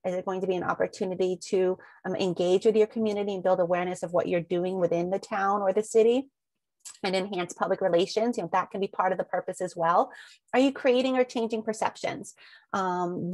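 A woman leads an online training session in an easy, conversational way, asking her listeners one question after another and filling the gaps with ums. She sounds slightly sniffly. Her voice sits at a middle pitch and comes through dry and close, with no room sound. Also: a little mellow, no echo. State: sniffly from allergies. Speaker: webinar presenter. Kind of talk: webinar presentation over slides.

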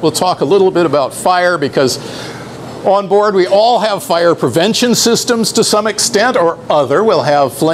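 A middle-aged man presenting a live talk to sailors in a club room, explaining things in an unhurried way with a few restarts and fillers. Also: fairly high pitch, slight background noise.

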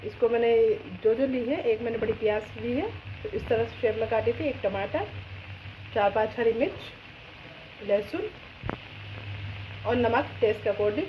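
A woman with a fairly high voice talks through a home recipe in a casual, step-by-step way, addressing her viewers as friends. She is recorded by the stove, with clear frying and sizzling noise behind her. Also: moderate pace, pauses often, lo-fi sound. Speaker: home cook presenting a recipe. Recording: sizzling pan noise over the voice. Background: loud sizzling and frying food.

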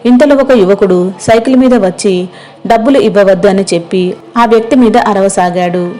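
A woman narrates a scripted moral story. Her voice is dark and soft-edged, at a medium pitch for a woman. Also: moderate pace.

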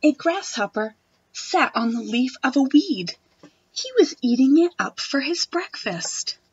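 A young woman reads a children's picture book aloud. Her voice is fairly high, with a gentle, expressive delivery. The sound is dry and slightly dull, from a device mic at home. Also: measured pace, husky, no echo. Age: young adult. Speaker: storytime reader. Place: wood-panelled room at home.

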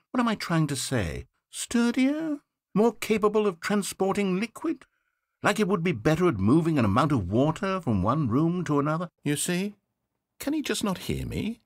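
A wry theatrical game narrator. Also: male voice. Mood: flustered. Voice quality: crisp measured delivery, very animated pitch. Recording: dry close studio voice.

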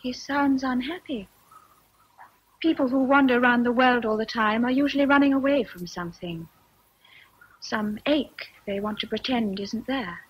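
An actress playing a composed, forthright young governess in a radio drama, with a breathy voice. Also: female voice, a little high, moderate pace, muffled recording. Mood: curious. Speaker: actor.

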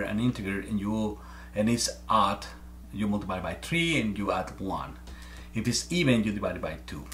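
A man's voice: fairly low pitch, fairly bright tone, slight background noise. A man in his forties from Mexico, with a heavy Mexican Spanish accent, earnestly explaining math step by step to a webcam at home. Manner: earnest, repeats words while thinking. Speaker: amateur math presenter. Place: small room at home, indoors.

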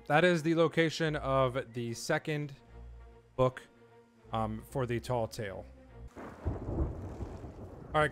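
A man's voice: medium pitch, a bit bright. A middle-aged man giving a casual, easygoing video game walkthrough, speaking informally to his viewers. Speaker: video game guide creator and host. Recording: clear background noise under voice.

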